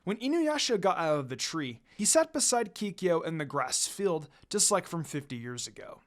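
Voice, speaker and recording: Male story narrator, fairly high voice, very varied intonation, dramatic delivery of a written fantasy tale. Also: sing-song, moderate pace, fairly bright tone. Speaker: fan fiction reader, narrator.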